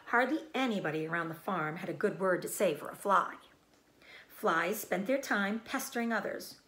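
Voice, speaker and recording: A woman reads a children's story aloud like a teacher. Her voice sits at a medium pitch and moves with lively, expressive intonation.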